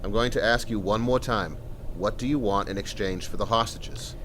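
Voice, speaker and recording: A man's voice: fairly low pitch, normal speed, a bit bright, slight background noise, close to an everyday voice. A man playing a patient, reassuring police sergeant in an audio drama. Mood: firm. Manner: reassuring, plain-spoken, patient. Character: calm, fatherly male police sergeant negotiating.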